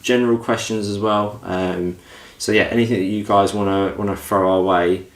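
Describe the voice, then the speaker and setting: A man's voice: fairly low pitch, level tone, normal speed. A man co-hosting a podcast with casual, jokey, self-deprecating banter. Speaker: podcast co-host. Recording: echoey room sound.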